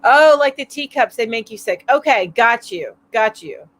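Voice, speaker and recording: A chatty middle-aged woman hosting a live stream from home, her voice sitting a bit high. She rambles along with fillers and little laughs as she reads out comments. She sounds a touch stuffy from allergies. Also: lively intonation, moderate pace, fairly bright tone. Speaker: host of a weekly live chat. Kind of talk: casual live-stream chat reading viewer comments. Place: home, mountain area.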